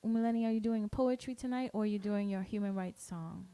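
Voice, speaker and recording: A woman's voice: medium pitch, quick pace, warm tone, clean tone. Woman hosting an open mic. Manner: encouraging, welcoming. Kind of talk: introducing performers. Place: small music club stage.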